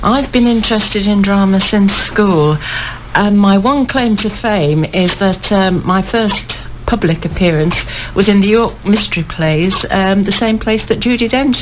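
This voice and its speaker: A woman's voice: medium pitch, measured pace. A middle-aged woman chatting hesitantly as a guest in a casual radio interview. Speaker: interview guest, amateur actor. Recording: dull, clearly noisy recording.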